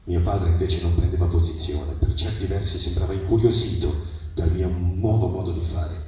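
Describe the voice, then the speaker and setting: A man reads literary prose aloud at a live event, heard from a distance through heavy echo. His pitch is middling and his phrasing is measured and dramatic, with pauses between images. Faint noise sits behind the voice. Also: dark tone. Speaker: reader at a public literary reading. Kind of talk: expressive literary reading of a first-person story. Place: live reading at an outdoor festival. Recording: very echoey, distant mic, noisy outdoor ambience.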